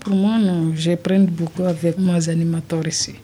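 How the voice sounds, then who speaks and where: A woman's voice: lowish voice, steady tone, normal speed, noisy background. A woman from Guinea-Bissau, whose first language is Creole, speaking simple learner French.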